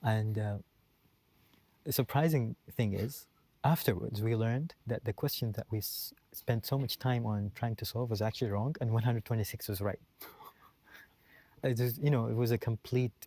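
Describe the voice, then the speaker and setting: A man's voice: mid-range voice, moderate pace, mellow, slightly rough. A young man from Saudi Arabia, a PhD candidate guest, telling a story in a hesitant way with many restarts and fillers. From born and raised in Saudi Arabia. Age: young adult. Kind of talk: casual interview chat.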